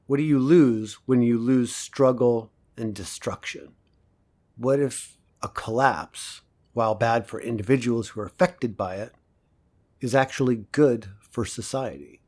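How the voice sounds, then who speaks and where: A man performs a cynical professor from a dystopian audio drama. He lectures in a medium-pitched voice, measured and didactic, pausing for rhetorical effect. His manner is coolly persuasive. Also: darkish tone, close to an everyday voice. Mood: teasing, didactic.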